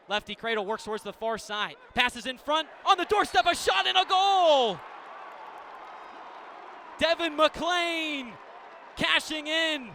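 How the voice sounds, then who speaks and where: An American man doing live radio play-by-play of a game in clipped descriptive phrases, ready to burst into excited calls. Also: extremely high pitch. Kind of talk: live sports play-by-play commentary. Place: indoor stadium broadcast position. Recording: live radio with steady venue noise.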